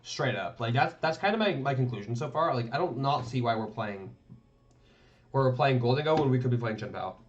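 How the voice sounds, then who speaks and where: A man's voice: medium pitch, lively intonation, a little fast. A man live-streaming his commentary on tournament match footage, rambling and thinking aloud, often tagging his remarks with "right?". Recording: dull lo-fi stream audio.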